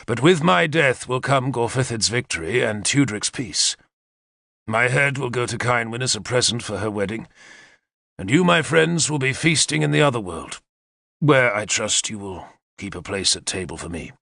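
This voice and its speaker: A man's voice: medium pitch, expressive, normal speed, gravelly, sharp tone, no echo, clean studio sound. A man voicing a confident male war leader who eagerly lays out battle plans, in a decisive, commanding manner. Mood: calm. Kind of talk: audiobook character reading.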